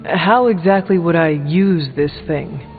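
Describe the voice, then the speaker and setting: A man voicing a stern, formal warrior hero in a video game, speaking in a measured, serious and blunt way. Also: fairly high pitch, long reverb tail, narrow, tinny audio, faint music behind, close to an everyday voice. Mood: questioning. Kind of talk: video game dialogue acting.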